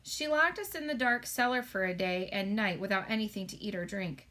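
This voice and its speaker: A woman's voice: medium pitch, brisk, sharp tone, close to an everyday voice. A woman voicing a plucky, plain-spoken orphan girl in a children's storybook, frank and stoical. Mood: bitter.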